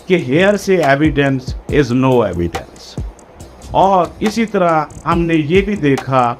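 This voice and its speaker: A man lecturing on law for exam students, explaining deliberately and spelling out key phrases word by word, with English legal terms mixed in. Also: a little high.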